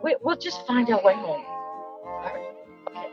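A woman's voice: mid-range voice, big pitch swings, faint music behind. Older Boston woman on a muffled phone line. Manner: halting, giggly, hesitant. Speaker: host's mother, phone-in caller. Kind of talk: casual phone call. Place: in a car, driving.

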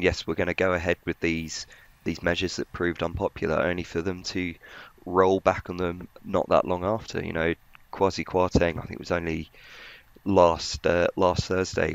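Very deep, gravelly, flat-toned man. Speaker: financial journalist, podcast panellist. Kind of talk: conversational market commentary. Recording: slightly dull, muffled audio.

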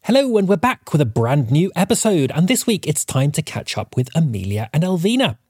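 A man's voice: a little high, very animated, a little fast, darkish tone, husky, no room sound, clean studio sound. A man hosting a podcast, reading a scripted introduction. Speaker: podcast host.

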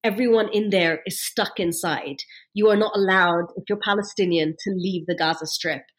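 A woman from London with a British accent, a journalist talking through her experiences as a guest in an interview, animated and often repeating words as she thinks. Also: mid-range voice, normal speed. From born in London. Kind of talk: conversational interview.

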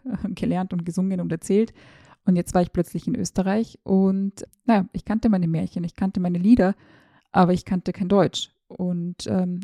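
Gravelly, flat-voiced woman. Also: fairly low pitch, no echo, studio quality. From former Yugoslavia, raised in Austria. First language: Serbo-Croatian. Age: 30s. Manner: chatty and self-ironic, hesitant fillers. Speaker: podcast host, journalist. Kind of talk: casual personal monologue, like a voice message.

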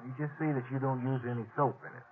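An actor playing an earnest, easygoing young stagecoach station hand in a 1950s radio western, polite and folksy. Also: male voice, mid-range voice, level tone, very fast, natural voice. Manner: folksy, polite, drawn into explanations. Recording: tinny, hissy 1950s radio recording.

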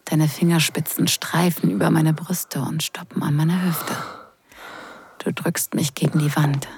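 A woman acting in an intimate audio drama as a teasing wedding guest who is drawn in, breathy and hushed. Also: low voice, slight background noise. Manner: breathy, hushed, slow and sensual delivery.

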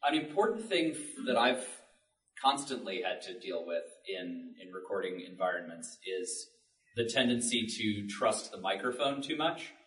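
A man's voice: lowish voice, lively intonation, moderate pace, fairly bright tone. A man on a panel explaining things informally in plain words. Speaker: panelist, audio drama maker. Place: public library panel room. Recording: echoey room, distant mic.

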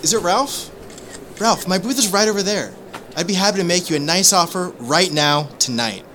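An actor playing a brash, nosy coin dealer in an audio drama, blurting things out and pushing in. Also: male voice, high voice, normal speed, sharp tone, slight background noise. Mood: brash, pushy. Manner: blurting, pushy.

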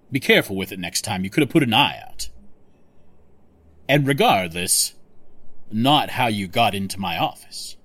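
A man's voice: medium pitch, a bit bright. A man playing a sardonic, suspicious official in an audio drama, questioning in a dry, pointed way.

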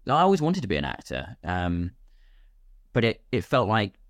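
A low-voiced British man talks in a lively, widely swinging way, as in a relaxed interview. His delivery is chatty and self-deprecating, and the sound is close and dry. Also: normal speed, dry recording. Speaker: guest, actor and filmmaker. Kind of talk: casual podcast interview.

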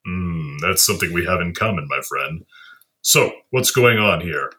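A gruff, wry magazine man, played by a male actor, in an audio drama. His deep voice has a bright, forceful edge as he pries in short, clipped phrases. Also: lively intonation, moderate pace. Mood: gruff, curious. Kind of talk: audio play acting.

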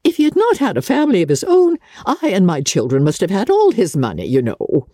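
A woman voicing a giddy, excitable middle-aged mother in a classic-novel audiobook, gushing with fussy, fluttery exclamations. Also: lowish voice, fairly quick, no room sound, studio quality, pitched above the performer's own voice. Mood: happy flutter.

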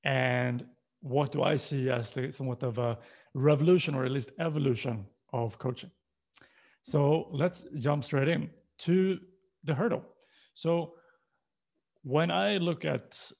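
Creaky-voiced Swedish man giving a presentation. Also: mid-range voice, a little mellow. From Sweden. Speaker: presenter, coaching co-founder. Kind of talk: slide presentation talk.

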